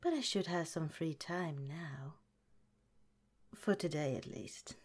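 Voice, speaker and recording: A woman voicing a calm, caring woman scientist director in an ASMR roleplay, speaking gently and politely in a soft, whispery way. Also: low-pitched, slow pace, breathy edge, full character voice. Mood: gentle, reassuring.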